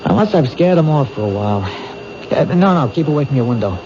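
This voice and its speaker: An actor playing a dogged police detective in a 1970s radio drama, pressing with urgent questions. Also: male voice, mid-range voice, lively intonation, fast, natural voice. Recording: hissy lo-fi old radio broadcast.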